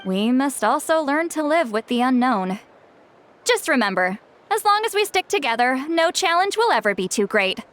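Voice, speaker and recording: A woman voicing a confident, warm-hearted female leader in a video game, reassuring and measured. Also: high voice, big pitch swings.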